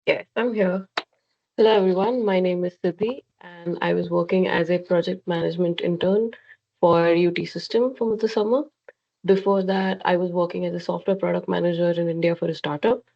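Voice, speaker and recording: A woman's voice: lowish voice, fairly even intonation, normal speed, gravelly, dull audio. A woman graduate student panelist speaking thoughtfully, with hesitations, on a video call.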